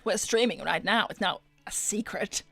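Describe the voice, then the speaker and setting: A woman voicing a smug, seductive hedgehog celebrity businesswoman in an animated roleplay stream, suave, flirtatious and coolly condescending. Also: mid-range voice, normal speed, creaky, husky, dry recording, soft background music, exaggerated voice. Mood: businesslike. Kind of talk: improvised in-character livestream roleplay.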